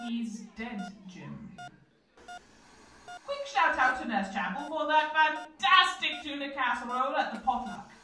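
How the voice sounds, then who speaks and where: A woman playing a brisk, bossy hospital announcer paging staff over the intercom in an audio comedy drama. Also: high-pitched, lively intonation, pushed and bright, heavily acted. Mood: flat, offhand. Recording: echoey, distant public-address speaker.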